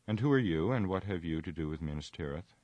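A proud, earnest warrior lord's son, read by a man. His voice sits very low and sounds close and dry. He tells his tale formally and gravely. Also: lively intonation, fast talker, warm tone, dry recording. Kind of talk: audiobook reading.

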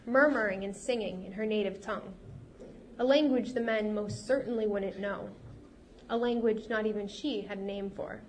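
A woman author reading her novel aloud at a live event, steady and expressive, her voice a little off while she gets over a cold. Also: fairly high pitch, a little hiss or hum. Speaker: fantasy author.

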